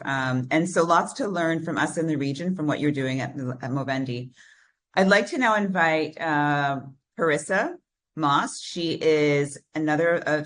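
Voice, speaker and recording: A woman with a notably low voice moderates a webinar over a video call. She thanks one speaker and hands over to the next. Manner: warm thanks, introduces speakers.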